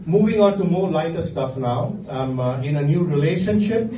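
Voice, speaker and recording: A middle-aged man from India with an Indian accent, performing a stand-up comedy set with a dry, deadpan delivery. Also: on the high side, normal speed, noisy background. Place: comedy venue with live audience. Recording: echoey room, distant mic, faint ambience.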